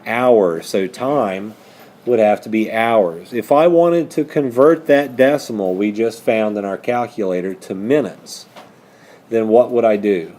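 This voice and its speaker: A man's voice: lowish voice, measured pace, mellow. A man teaching a math lesson step by step, posing questions and then answering them himself. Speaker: high school math teacher.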